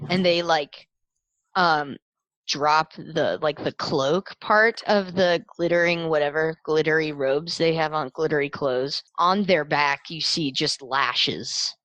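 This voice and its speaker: Low-voiced woman running a tabletop game. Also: slow pace, a bit bright, no room sound, highs cut off. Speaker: game master. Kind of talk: narrating a tabletop role-playing game.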